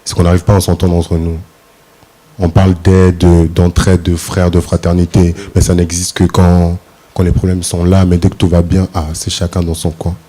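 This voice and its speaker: A man's voice: sits low, completely flat delivery, moderate pace, dark tone. A man from DR Congo, a pageant contestant giving earnest, opinionated answers in short phrases. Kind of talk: casting interview answers.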